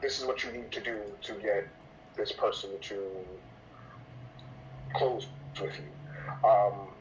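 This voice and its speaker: Polite male agent, dull muffled recording. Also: lowish voice. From New York. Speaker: real estate agent trainee. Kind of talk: role-play sales call. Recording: dull muffled sound with faint noise.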